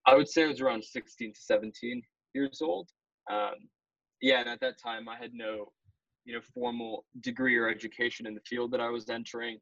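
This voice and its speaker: A man's voice: mid-range voice, fairly quick, gravelly, fairly bright tone, no room sound. A young man from Malaysia, a podcast guest, explaining in long, orderly sentences. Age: young adult. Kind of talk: podcast interview. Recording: slightly dull, dry sound.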